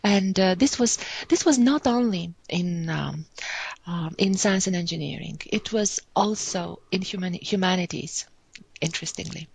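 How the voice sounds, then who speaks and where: A woman's voice: lowish voice, moderate pace, vocal fry, darkish tone, husky, dry recording. A woman from Bulgaria, giving a remote conference talk on statistics, hesitant and self-correcting with many fillers. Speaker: academic speaker.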